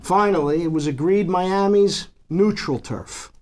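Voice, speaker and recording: A man reading an authoritative mob leader who keeps order, deliberate and commanding, in a script table read. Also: high voice, darkish tone. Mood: businesslike, firm.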